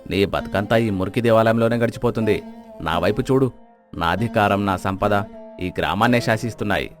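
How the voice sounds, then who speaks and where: A man's voice: fairly low pitch, a little fast, soft background music, close to an everyday voice. An actor playing a greedy, arrogant rich village strongman in a moral story, boastful and menacing. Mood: mocking, boastful.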